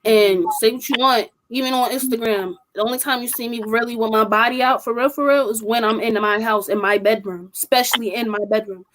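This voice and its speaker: A woman's voice: on the high side, steady tone, a little fast, few pauses, a crowd in the background, poor audio. A young woman co-hosting a podcast, talking bluntly and with emphasis in a casual group discussion. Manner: blunt, emphatic, strong-willed. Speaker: podcast co-host.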